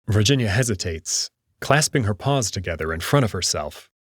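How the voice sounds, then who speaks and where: A man's voice: fairly low pitch, lively intonation, normal speed, a bit bright, slightly rough, no room sound, clean studio sound. A man narrating a first-person story in a dramatic reading style.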